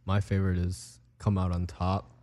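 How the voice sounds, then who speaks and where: A young man from Hawaii, a music producer giving laid-back, halting interview answers full of fillers. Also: low voice, monotone, mellow.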